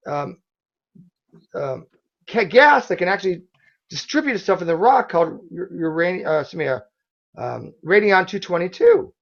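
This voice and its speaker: A hoarse man with a somewhat high voice argues back fast, stumbling over restarts as he corrects his opponent. The sound is dull and lo-fi. He hosts a heated online debate. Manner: forceful, quick rebuttals with restarts. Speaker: debate host.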